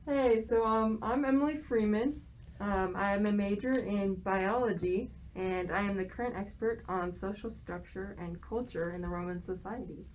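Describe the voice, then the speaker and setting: A woman's voice: medium pitch, moderate pace, a little mellow, slight background noise, poor audio. A young woman, a student, explaining a topic from prepared notes, with frequent hesitations and restarts. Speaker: student guest expert.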